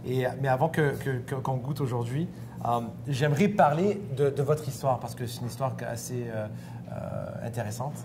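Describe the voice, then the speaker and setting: A man hosting a casual on-location interview, often halting as he searches for words. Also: mid-range voice. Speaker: interviewer and host. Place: restaurant. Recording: clear background noise and hum.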